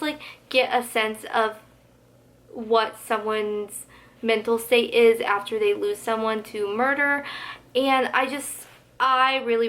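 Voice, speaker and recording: A woman's voice: a little high, a bit bright. A young woman who talks about books to the camera in a gushing, chatty way, full of "like," with her voice slightly going. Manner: gushing, chatty, heavy use of "like". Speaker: book vlogger. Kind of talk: casual book tag vlog.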